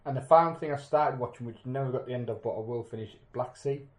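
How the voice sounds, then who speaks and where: A man's voice: mid-range voice, quick pace. Easygoing podcast guy, echoey room. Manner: easygoing, lots of fillers and teasing. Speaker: podcast co-host. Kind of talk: casual chat over beers.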